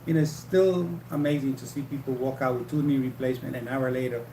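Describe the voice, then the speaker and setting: Gravelly man rambling on a livestream. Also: mid-range voice, fairly even intonation, mellow, distant mic. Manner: rambling, halting, restarts often. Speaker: livestream host. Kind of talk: casual livestream chat. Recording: echoey room with faint hum.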